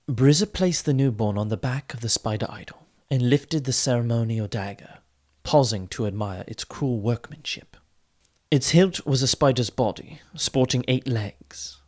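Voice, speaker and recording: A man reading a fantasy audiobook as narrator, in a dramatic, theatrical storytelling style. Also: medium pitch.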